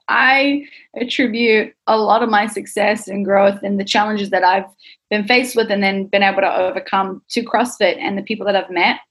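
A woman's voice: a little high, fairly bright tone. A young adult woman with a New Zealand accent, talking casually, candidly and thoughtfully about herself as an interviewed athlete and coach.

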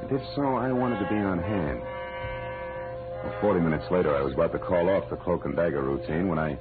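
A man's voice: sits low, brisk, music in the background, lightly acted. An actor playing a wry, hard-boiled private detective in a 1940s radio drama, with a clipped tough-guy delivery on a muffled old recording.

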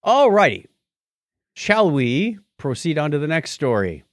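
Male co-host, American, chatty and chuckly, very animated intonation, dry sound. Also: a little high, measured pace, no echo. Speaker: podcast co-host. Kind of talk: casual news discussion.

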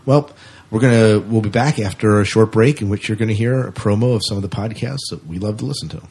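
A man's voice: lowish voice, fast talker, a little mellow. A man hosting a podcast in a casual, conversational style. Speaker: podcast host.

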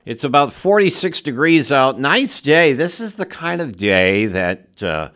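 A man with a middle-pitched, very animated voice fills in as a local radio host. He talks casually and likes to banter. His delivery is folksy and conversational. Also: unhurried.

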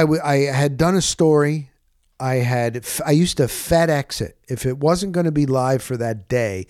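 A man's voice: medium pitch, dry recording, studio quality. A middle-aged man hosting a sports podcast, talking in a casual, rambling monologue and telling stories in an informal way, often interrupting himself. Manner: informal, animated storyteller with self-interruptions.

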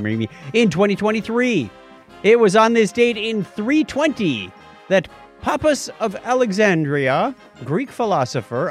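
A man co-hosting a podcast with joking, opinionated banter and casual read-outs, with music behind him. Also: sits high. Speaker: podcast co-host. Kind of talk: casual banter and reading out history items.

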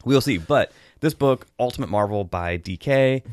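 A man's voice: medium pitch, expressive, moderate pace, darkish tone, no echo, studio quality. An American man hosting a review show, talking casually as he gives his take. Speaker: host of a film and book review show.

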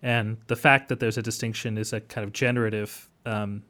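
A man's voice: lowish voice, flat delivery, a little fast, pushed and bright. A man speaking as an academic interview guest, explaining things thoughtfully and hesitantly in scholarly wording. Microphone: remote line.

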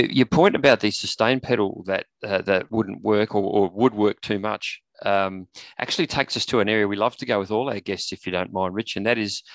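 A man's voice: on the low side, no echo. A man hosting a casual interview, agreeable, with hesitant phrasing. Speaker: podcast host.

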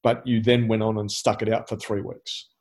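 A man's voice: on the low side, little pitch movement, normal speed, darkish tone. A man co-hosting a podcast, giving feedback in a casual, reflective and explanatory way. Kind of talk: casual discussion of storytelling.

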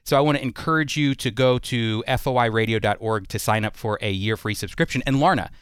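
A man's voice: mid-range voice, fairly quick, fairly bright tone, clean tone, dry recording. An American man hosting a radio program, presenting news and interviewing a guest at a studio microphone. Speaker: radio host. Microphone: close studio microphone.

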